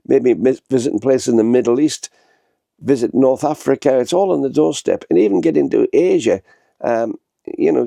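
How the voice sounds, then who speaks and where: A man's voice: medium pitch, gravelly, mellow, no room sound, clean studio sound. A man, congested with a head cold, chatting to camera in a rambling, casual vlog with lots of fillers and restarts. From northern England. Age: 60s. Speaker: vlogger. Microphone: small handheld camera.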